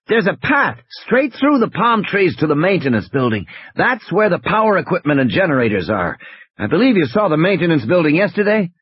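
A man's voice: on the high side, sing-song, brisk, slightly rough, no room sound, highs cut off, pitched above the performer's own voice. A man voicing an earnest male technical expert who explains and reasons, in dramatized audiobook dialogue. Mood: urgent.

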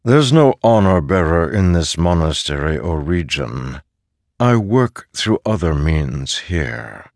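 A man voicing a mysterious disembodied presence in an audiobook, calm, self-assured and imperious. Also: sits low, slow pace, dry recording, clean studio sound, exaggerated voice.

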